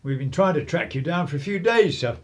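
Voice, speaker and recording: An actor playing a dutiful, deadpan detective constable in a radio play, formal and deferential, with a husky voice. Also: male voice, a little high, brisk. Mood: polite, official. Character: stolid, dutiful male police detective constable, politely unbudging.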